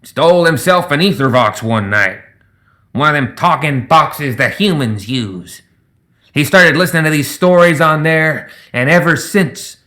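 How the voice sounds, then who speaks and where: Man voicing an older folksy gremlin pirate, raised pitch. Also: lively intonation, measured pace, sharp tone. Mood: explaining. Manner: folksy, rustic speech. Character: older male gremlin sky pirate, folksy, plain-spoken. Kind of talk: dramatized audiobook character voice.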